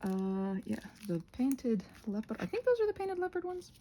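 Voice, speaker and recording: A woman hosting a rambling craft ASMR chat with a husky voice, in an expressive, tentative, self-deprecating way that meanders. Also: high-pitched, moderate pace, slight background noise. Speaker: channel host.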